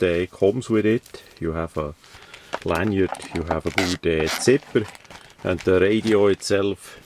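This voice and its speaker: A man with a low voice talks through a casual product unboxing, speaking as a non-native English speaker. He takes his time, with halting phrases and repeated words. There is some light noise behind him. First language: not English. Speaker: product reviewer.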